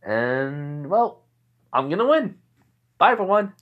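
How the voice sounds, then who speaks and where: A man's voice: on the high side, animated, measured pace, phone-quality sound, pitched above the performer's own voice. A man playing a cheerful, cocky young superhero student giving a breezy, hesitant speech in a fan story. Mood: cheerfully confident. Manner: breezy, friendly boasting with hesitations.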